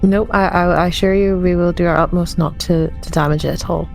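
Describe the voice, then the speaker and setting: A woman's voice: lowish voice, fairly even intonation, a little fast, creaky, darkish tone, faint music behind. A woman playing a tabletop role-playing game, improvising in a hesitant, tentative way. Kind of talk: improvised in-character tabletop play.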